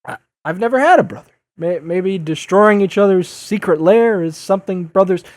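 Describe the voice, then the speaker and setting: An actor playing a young masked superhero in an audio drama, sarcastic, flustered and easily exasperated. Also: male voice, high-pitched, normal speed, dry recording, lightly acted. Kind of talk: audio drama dub acting.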